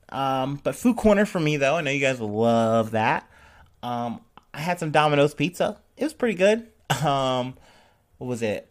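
A man hosting a casual news podcast, joking and irreverent, with many fillers, who smokes and drinks as he talks. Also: mid-range voice. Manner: drinking and smoking while talking. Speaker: podcast host.

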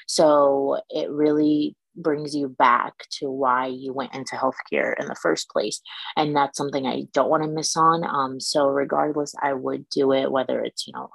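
A young woman from Iraq talks through her path as a dental student, measured and thoughtful, pausing often. Her voice sits very low with a gravelly edge, recorded dry and close. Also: dry recording, rough recording. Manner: measured, thoughtful, frequent "um" pauses. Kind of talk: casual interview answers.

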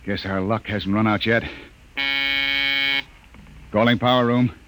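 An actor playing a hard-nosed, impatient spaceship captain, curt and commanding, in 1950s radio drama style. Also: male voice, on the low side, fairly even intonation, measured pace, close to an everyday voice. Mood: grim. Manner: curt, barking orders. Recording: noisy old radio broadcast recording.

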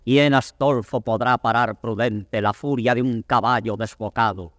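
A man's voice: mid-range voice, fairly even intonation, measured pace, fairly bright tone, no echo, clean studio sound, lightly acted. An actor playing a stern, embattled old king, declaiming classical Spanish verse in a solemn manner. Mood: grave, foreboding.

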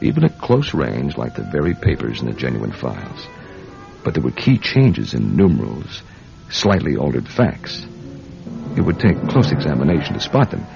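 An actor playing a hard-boiled American intelligence agent in a radio drama, narrating and talking tersely. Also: male voice, very deep voice, gravelly, darkish tone, full character voice. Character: American intelligence agent narrating his own case, tense, smitten. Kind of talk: radio drama acting, first-person narration. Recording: dull radio drama recording, music underneath.

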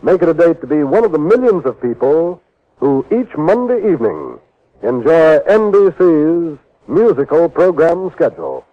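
A man's voice: fairly high pitch, very animated, moderate pace. An American man reading scripted promos and credits as a polished, formal announcer in 1950s radio style. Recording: muffled vintage radio recording.

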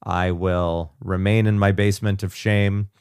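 A man's voice: sits low, flat delivery, a little slow, a little mellow, clean tone, no room sound, studio quality. A man co-hosting a podcast in casual, sweary banter, slightly tipsy with faintly slurred speech. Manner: profane. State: tipsy on whiskey. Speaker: podcast co-host.

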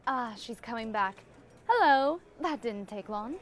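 An inquisitive fantasy adventurer woman, really high lively voice, spirited, audio drama. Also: a little slow. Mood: curious.

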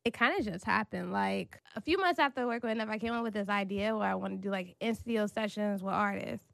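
A woman's voice: mid-range voice, fast, creaky, a bit bright, no room sound. A young woman from North Carolina, raised in Washington DC, chatting casually as a guest and rambling with many fillers. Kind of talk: casual interview chat.

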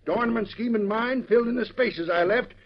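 Folksy high-pitched old-timer. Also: male voice, a little fast, vocal fry. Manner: folksy drawl with rustic exclamations. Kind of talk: 1930s radio drama acting. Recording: dull lo-fi old radio recording, faint hiss.

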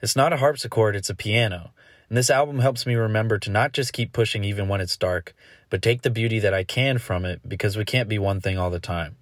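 A man speaks in a heartfelt, reflective way, his voice fairly low. The recording is dry and close-miked. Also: fairly quick, no room sound. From South Carolina. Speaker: podcast listener sending a voice message. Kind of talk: reading a prepared listener message.

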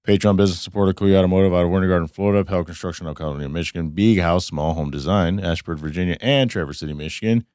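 A man hosting a casual podcast, hoarse and losing his voice, talking in a loose, rambling way with some swearing. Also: low-pitched, steady tone, very fast, hardly any pauses, darkish tone, no room sound, studio quality. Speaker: podcast host. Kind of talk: casual chat. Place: studio.

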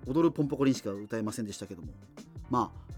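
An actor playing a wry, self-deprecating radio host in a doomed future, reminiscing in a digressive, hesitant conversational monologue. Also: male voice, on the low side, expressive, racing, darkish tone, soft background music, natural voice. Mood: wry, rueful.

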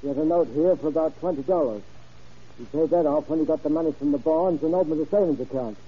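An actor playing an eager, precise bank clerk in a vintage radio crime drama, fussy about details. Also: male voice, a little high, fast, slightly rough. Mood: informative, eager. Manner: repeats himself, precise. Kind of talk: 1940s-50s radio crime drama acting. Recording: dull vintage radio recording.

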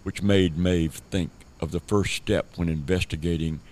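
A man's voice: low voice, fairly even intonation, moderate pace, darkish tone. A man from the southern United States narrating a scripted radio play as a storyteller. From Savannah, Georgia, United States.

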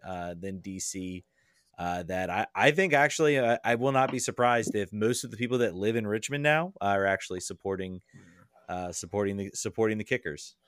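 A man guesting on a casual soccer podcast, talking in halting bursts with many restarts and wry laughs. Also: lowish voice, fairly bright tone, no room sound, clean studio sound.

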